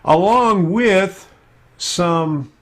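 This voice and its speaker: Slow-talking man hosting a live stream. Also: high voice, fairly bright tone. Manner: slow, unhurried, with many fillers while working on screen. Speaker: host of a model railroading live stream. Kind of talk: casual live-stream chat.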